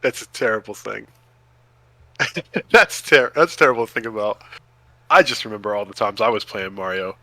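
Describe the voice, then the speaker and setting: A man's voice: fairly low pitch, moderate pace, pauses often, pushed and bright, no room sound. A man co-hosting a podcast in casual chat, reacting and interjecting with short exclamations. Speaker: podcast co-host.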